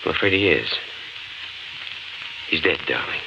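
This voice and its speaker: An actor playing a steady, practical young husband in a 1950s radio drama, earnest and reassuring. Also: male voice, extremely low pitch, measured pace, creaky, heavily acted. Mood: grave. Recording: very noisy, muffled 1950s radio recording.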